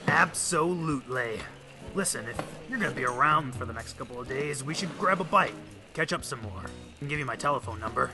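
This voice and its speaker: An actor playing an easygoing, informal police detective in an old time radio drama. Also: male voice, mid-range voice, expressive, a little fast, music in the background, lightly acted. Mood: friendly. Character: folksy police detective, army veteran friend.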